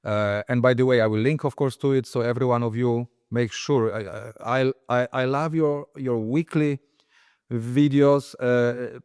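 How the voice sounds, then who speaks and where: Eager male interviewer, praises freely, restarts his sentences; crisp voice, dry close recording. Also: mid-range voice, steady tone, normal speed, no echo. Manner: enthusiastic, warm praise, stumbling restarts. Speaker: interviewer host. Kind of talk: casual interview conversation.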